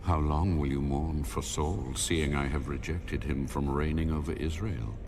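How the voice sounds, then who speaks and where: A man's voice: very deep voice, steady tone, unhurried, darkish tone. A man playing God in a dramatized audio Bible, solemn, commanding and authoritative. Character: God, commanding, solemn, deep-voiced Lord. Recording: prominent music behind the voice. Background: music.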